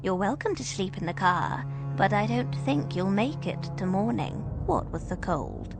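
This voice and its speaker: A woman playing a quietly menacing old Scottish landlady in a ghost story, speaking in a slow, hushed, ominous way. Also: medium pitch, lively intonation, music in the background. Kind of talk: dramatised ghost story reading.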